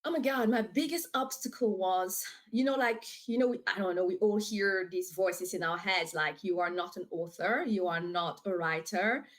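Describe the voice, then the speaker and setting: This is a woman from DR Congo, with French as her first language, talking as a guest on a podcast over a video call. Her voice sits at a middle pitch. She talks in an animated, talkative way, with frequent fillers and laughs. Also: moderate pace, few pauses. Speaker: author and guest. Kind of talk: casual interview.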